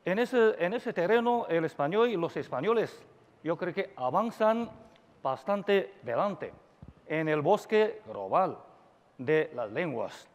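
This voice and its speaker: A middle-aged man, a native Chinese speaker, giving a formal conference talk in a lecture hall, deliberate and full of pauses and filler sounds. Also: high voice, very animated. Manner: slow and deliberate, with many filler sounds and restarts. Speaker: invited speaker at a language forum. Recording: dull echoey hall recording.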